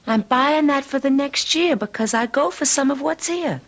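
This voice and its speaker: An actress playing a defiant, streetwise but soft-hearted teenage girl in old-time radio drama, brash and slangy. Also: high voice, moderate pace.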